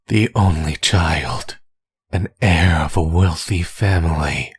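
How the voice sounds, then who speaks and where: A man playing a menacing, tormented villain spirit possessing someone's body, in a husky, brooding, deliberate monologue for an ASMR audio roleplay. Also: dry recording, studio quality, exaggerated voice. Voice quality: husky, breathy low voice. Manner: slow, deliberate, brooding monologue with dramatic pauses.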